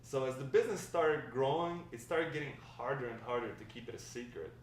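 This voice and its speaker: A man's voice: medium pitch, a little fast, natural voice. Echoey, distant man reading as a reminiscing grandfather. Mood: rueful, confiding. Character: grandfather fondly recounting his wild, lovestruck youth. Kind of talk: table read of a dramatic script. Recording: echoey room, distant mic, faint noise.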